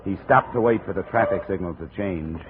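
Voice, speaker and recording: Male actor as hard-boiled investigator, low clipped delivery, muffled old radio sound. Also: fairly quick, natural voice. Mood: dry, measured. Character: tough, terse male insurance investigator narrating his case. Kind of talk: 1950s radio detective drama, first-person narration. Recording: muffled, noisy 1950 radio recording.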